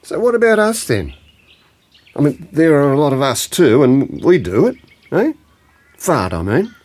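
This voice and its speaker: A man's voice: a little high, huge pitch swings, unhurried, a little mellow, heavily acted. A man playing a blunt, teasing old Australian grandfather in a naturalistic audio drama. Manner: blunt colloquial speech, teasing, ends phrases with "eh".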